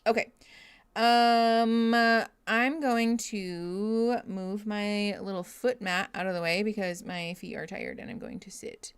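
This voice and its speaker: A woman's voice: medium pitch, steady tone, slow, clean tone. Chatty opinionated woman streamer. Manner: chatty, opinionated, with many likes. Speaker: streamer reacting to a reality show. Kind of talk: casual live reaction chat. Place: home room, at a standing desk.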